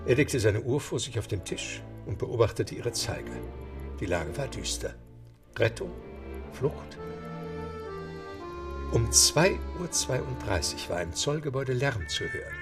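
Animated male radio play narrator. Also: medium pitch, unhurried, long pauses, over music. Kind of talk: dramatic scripted narration in a German radio play.

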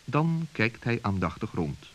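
A man's voice: mid-range voice, big pitch swings, a little slow, fairly bright tone, a little hiss or hum. A man narrating a scripted children's story. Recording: dull, muffled old recording.

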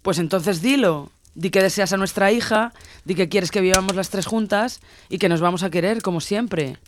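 A woman's voice: medium pitch, normal speed, a little hiss or hum, natural voice. A woman playing a possessive, abusive lover in an audio drama, pressing her partner with insistent, pleading questions. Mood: eager, insistent.

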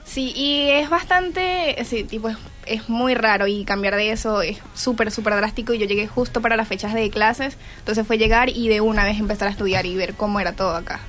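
A teenage girl with a Venezuelan accent, answering questions on the radio in brief, soft-spoken replies. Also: mid-range voice, brisk, hardly any pauses, faint music behind. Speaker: student guest.

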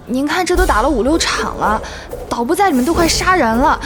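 A harried, pleading woman working an event in an audio drama. Her high voice is deferential and imploring. Music plays clearly underneath. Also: animated, fairly quick. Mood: worried pleading. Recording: music behind.

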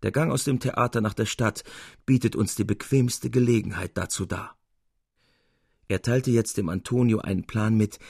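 A man reading literary narration as an audiobook narrator, in an expressive, measured storytelling manner. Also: lowish voice, creaky, breathy edge, no room sound, clean studio sound.

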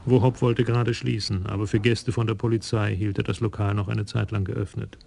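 A man narrating a German crime radio play in a calm, measured way. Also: fairly low pitch, creaky, husky.

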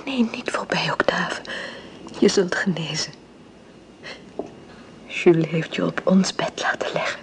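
An actress playing an anguished, tender young wife, pleading and often hushed, in an old radio drama. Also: female voice, on the low side, measured pace, creaky, pauses often, whispered.

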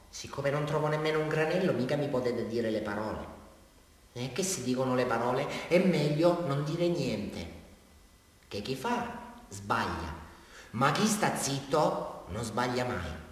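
An actor playing a rambling, unhinged villain from a small town confessing to police in a radio drama, with a Southern Italian accent and an erratic, repetitive delivery. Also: male voice, medium pitch, close to an everyday voice. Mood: hushed, ominous. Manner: erratic, digressive, repeating himself, swinging from loud to hushed. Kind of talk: theatrical radio drama monologue. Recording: very echoey hall, distant mic, music. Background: music.

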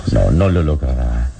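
An actor playing a noble, astute adventurer hero in a radio drama, speaking with solemn, measured authority. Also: male voice, a bass voice, creaky, background noise, exaggerated voice. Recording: old radio drama with music behind.

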